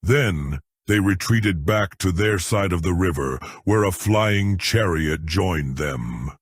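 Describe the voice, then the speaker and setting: A man voicing a deferential demon messenger who reports grim news formally and gravely in an audiobook. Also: expressive, slow, no room sound, studio quality, heavily acted. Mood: grave reporting. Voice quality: husky, low.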